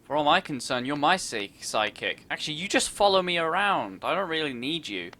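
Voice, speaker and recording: A man's voice: fairly high pitch, sing-song, a little fast, sharp tone, slight background noise. A man doing casual, joking gameplay commentary, reacting and repeating himself. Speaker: gaming streamer.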